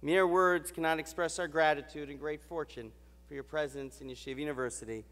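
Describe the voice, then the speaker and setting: Man, formal award speech, measured stately delivery, higher-pitched voice, reverberant ballroom. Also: steady tone, fairly bright tone. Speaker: university president presenting an award. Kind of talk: formal ceremonial address. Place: large hotel ballroom. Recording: reverberant banquet hall.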